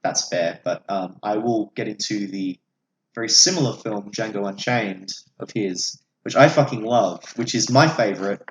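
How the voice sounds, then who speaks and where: A man's voice: on the low side, moderate pace. A man, an opinionated podcast host who swears freely while casually discussing films. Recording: echoey room, audible background noise.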